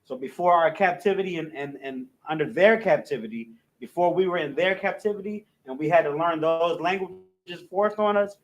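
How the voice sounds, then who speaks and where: A man's voice: a little high, rough recording. A man teaching a language class, speaking with frequent restarts and fillers, and reading out an alphabet letter by letter. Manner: halting, many restarts and fillers. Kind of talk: classroom lesson, reciting alphabet.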